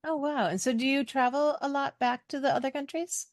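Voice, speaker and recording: A woman's voice: sits high, fairly even intonation, a little fast, no echo, studio quality. A middle-aged American woman hosting a podcast in a casual, chatty, joking way. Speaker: podcast host.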